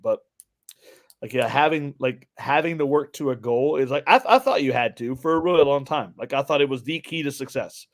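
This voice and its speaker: A man's voice: medium pitch, a little fast. A man co-hosting a podcast, telling things in a casual, rambling way and often quoting himself as he goes. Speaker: podcast co-host, fitness coach. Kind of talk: casual conversational storytelling.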